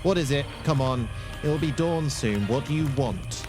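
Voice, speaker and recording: A man's voice: mid-range voice, lively intonation, moderate pace, natural voice. A man playing a weary, defiant young wanderer who longs to belong, in a fan audio drama. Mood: irritated, evasive. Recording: echoey voice under loud music.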